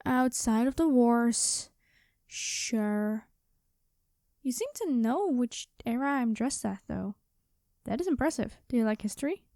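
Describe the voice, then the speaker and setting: A woman's voice: a little high, animated, a little slow, warm tone, no room sound, studio quality. A woman playing a quirky, chatty time traveler from the future, rambling and flustered, in an ASMR roleplay. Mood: cheery, chatty. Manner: rambling, flustered, hesitant, cheery. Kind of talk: ASMR storytelling roleplay, one-sided conversation.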